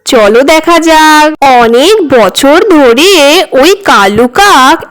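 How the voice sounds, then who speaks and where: A woman's voice: high voice, animated, slow pace, a bit bright. A woman narrating a cartoon story for children in a sing-song storytelling way.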